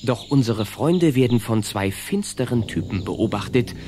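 A man's voice: medium pitch, animated, normal speed, background noise. A man narrating a children's audio drama in a scripted storytelling style.

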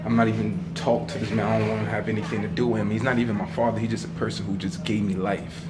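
A man's voice: fairly low pitch, flat delivery, fast. A young adult man talks casually to his phone camera as he moves around the city. He sounds like an everyday vlogger, with prominent music behind his voice. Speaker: vlogger, actor and filmmaker. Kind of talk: casual vlog talk to camera. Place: on the move in city streets and indoor hallways. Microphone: handheld phone at arm's length.

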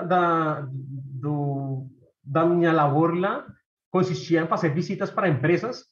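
A man in his thirties from Colombia with a Colombian Spanish accent, giving an academic talk on a video call with frequent fillers and restarts. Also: fairly high pitch. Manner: hesitant, many fillers and repeated words. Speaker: researcher presenting a talk. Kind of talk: academic presentation. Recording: echoey room, dull lo-fi sound.

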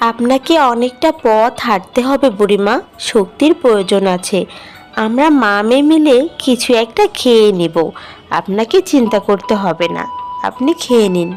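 A woman voicing a poor, kind village mother in a fairy-tale cartoon, gentle and earnest with a sorrowful edge. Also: fairly high pitch, expressive, moderate pace, soft background music, natural voice. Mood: kind, respectful.

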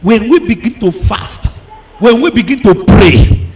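Male preacher, high voice with big swings in pitch; emphatic call-and-response sermon delivery, repetitive and exhorting. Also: expressive, unhurried. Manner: emphatic, repeats phrases, fires rhetorical questions at the congregation; exhorting, breaking into shouts.

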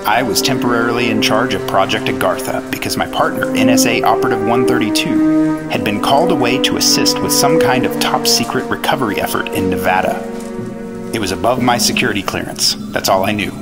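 A man's voice: on the low side, fairly quick, lightly acted. An actor playing an earnest FBI agent, narrating his case in clipped, matter-of-fact 1950s radio drama style. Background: prominent music.

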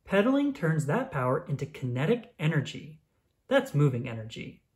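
Children's book narrator, scripted read-aloud, animated intonation, medium pitch, expressive delivery for kids. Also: normal speed.

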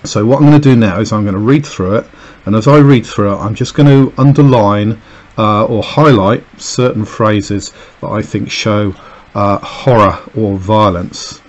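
A man's voice: fairly low pitch, moderate pace, muffled recording. A man teaching a recorded lesson, explaining an extract with many fillers and "okay"s as he annotates it. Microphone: voice-over over a camera filming a page on a desk.

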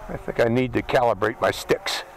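A man's voice: mid-range voice, fairly quick, rough recording. A middle-aged man with a Pittsburgh accent, giving a chatty, step-by-step product review in a casual hands-on style outdoors. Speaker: drone reviewer and channel host. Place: outdoors in a windy desert canyon. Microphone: body-worn hat camera. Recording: outdoor action-camera audio with noise.

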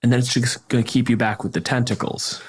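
A man's voice: medium pitch, fairly even intonation, fast talker, slightly rough. A man chatting casually as a podcast host, explaining things in a conversational way. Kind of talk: casual tabletop game discussion.

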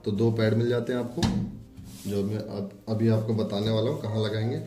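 A man's voice: fairly low pitch, steady tone, a little fast, over music. A man presenting an informal product demonstration, instructing viewers step by step and addressing them as friends. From India.

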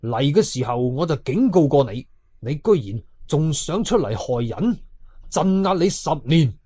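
A man's voice: fairly high pitch, very animated, unhurried, warm tone, breathy edge, heavily acted. A man voicing a stern, commanding Taoist ghost hunter in a horror story, curt and authoritative, with a Hong Kong Cantonese accent.

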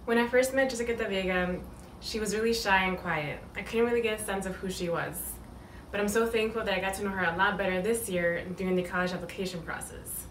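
A woman's voice: mid-range voice, fast. A woman gives a sincere video tribute. She sounds somewhat distant from the mic in an echoey room, with faint noise behind her. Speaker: school staff.

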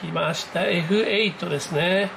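Man, high voice, excited casual hobby commentary, exclamatory, indoor camera-mic recording, noisy background. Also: normal speed, vocal fry. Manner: exclamatory, reacting aloud as things happen. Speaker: amateur radio operator hosting his own video. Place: indoors at a desk, filming a computer screen.

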